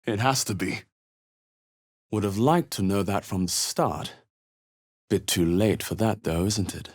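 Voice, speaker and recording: A man playing a wary, determined adventurer and spellcaster in a video game, with a terse, measured, dramatic delivery. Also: lowish voice, husky. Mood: grim, determined. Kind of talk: video game character voice lines.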